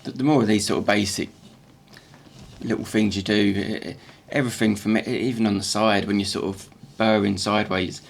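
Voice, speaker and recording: Man, croaky morning voice, halting repeated words, casual tutorial talk, faint workshop hum behind. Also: on the low side, fairly even intonation.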